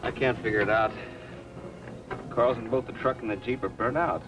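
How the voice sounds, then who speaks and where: A man's voice: medium pitch, moderate pace, music in the background, lightly acted. An actor playing a practical, plain-spoken crewman in 1950s science fiction film style. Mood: puzzled. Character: practical, plain-spoken male crew member Steve. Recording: distant, noisy old film soundtrack.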